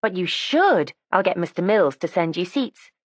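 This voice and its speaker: A woman's voice: fairly low pitch, very animated, normal speed, no room sound. A woman reading the part of a precocious, matter-of-fact teenage schoolgirl who chats candidly and primly. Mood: earnest. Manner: chatty, candid and prim.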